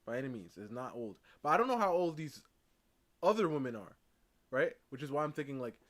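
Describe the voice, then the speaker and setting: A man's voice: mid-range voice, big pitch swings, fairly quick, fairly bright tone. A man giving casual commentary in a slangy, rambling, emphatic way. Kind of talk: casual reactive commentary in chat.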